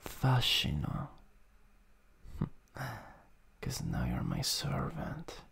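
A man with an Italian accent playing a mean, sadistic vampire master in an improvised ASMR roleplay, husky and breathy with a menacing, theatrical manner that drifts into whispers. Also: very deep voice, huge pitch swings, fairly bright tone, pitched below the performer's own voice, full character voice. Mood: coldly menacing. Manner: slow, menacing, theatrical, chuckling.

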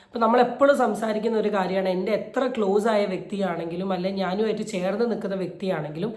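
Woman, emphatic opinion vlogger, indignant, repetitive for stress, medium pitch, roomy echo. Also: fast, darkish tone. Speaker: YouTube commentator. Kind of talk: opinion commentary on a viral video. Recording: roomy, echoey voice recording.

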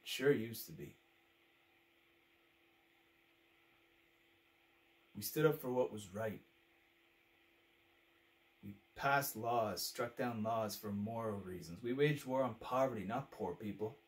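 A man's voice: mid-range voice, animated, fast, lightly acted. A man performing a dramatic monologue as a fed-up, brutally frank pundit, with a biting, emphatic, rhetorical delivery. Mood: wistful, solemn.

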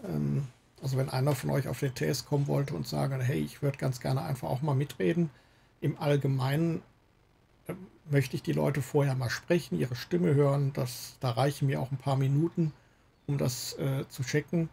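Very creaky-voiced man hosting a stream. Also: fairly high pitch, fairly even intonation, moderate pace, dark tone. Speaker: livestream host. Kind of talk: casual gaming stream chat. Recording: faint background noise.

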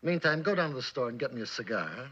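An actor playing a cynical, bossy former army captain turned police chief with an American accent, in mid-century suspense drama style. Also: male voice, mid-range voice, lively intonation, rapid-fire, a bit bright, husky. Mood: sardonic. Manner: needling, bossy. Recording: dull, lo-fi old drama soundtrack.

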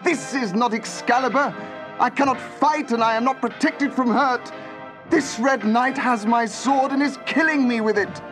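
Noble storybook king, acted by a male narrator, earnest, declamatory, raised pitch, music behind. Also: big pitch swings, a little fast, hardly any pauses. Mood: desperate.

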